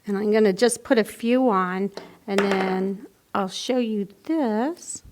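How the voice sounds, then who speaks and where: A woman's voice: medium pitch, slow pace, noisy background. A woman presenting a library storytime, casually explaining a children's craft in simple steps.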